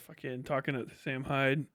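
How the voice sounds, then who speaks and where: A man's voice: medium pitch, flat delivery, unhurried, a bit bright, no echo. An American man hosting a livestream, talking casually with blunt, opinionated, sweary commentary. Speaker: livestream host.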